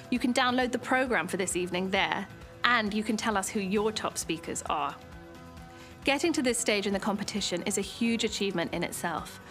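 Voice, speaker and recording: A woman, hosting an event with clear, upbeat scripted presenting to camera on a dark studio stage. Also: medium pitch. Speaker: event host.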